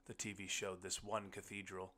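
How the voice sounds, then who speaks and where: Low, very bright male audiobook narrator. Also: level tone, moderate pace. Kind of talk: scripted first-person story narration.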